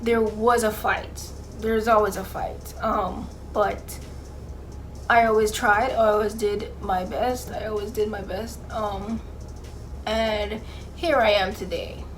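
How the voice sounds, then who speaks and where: A woman's voice: mid-range voice, fairly even intonation, slow pace, soft background music. A young woman from Jamaica with a mixed Jamaican and Bahamian accent, talking casually to camera with sassy, sarcastic asides. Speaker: vlogger.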